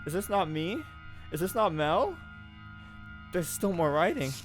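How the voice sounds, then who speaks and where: A man's voice: mid-range voice, moderate pace, faint music behind, lightly acted. A man voicing an anxious fourteen-year-old noble girl who talks in frantic, pleading questions. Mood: panicked.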